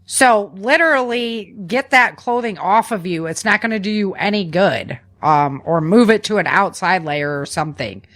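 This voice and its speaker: A woman podcast host with a mid-pitched voice talks through practical survival advice. She sounds conversational and informal, working from notes while instructing the listener, and often seeks agreement as she goes. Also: lively intonation, moderate pace, few pauses, a bit bright.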